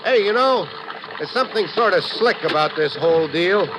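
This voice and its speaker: Wry, animated hard-boiled American agent. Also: male voice, high-pitched, normal speed. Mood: suspicious. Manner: wry, terse, colloquial. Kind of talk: 1950s radio acting. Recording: muffled, noisy old radio recording.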